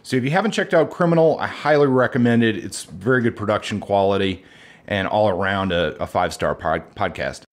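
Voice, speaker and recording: A man's voice: on the low side, fairly quick. A man co-hosting a podcast, chatting casually and rambling a little with restarts. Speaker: podcast co-host. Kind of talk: casual chat.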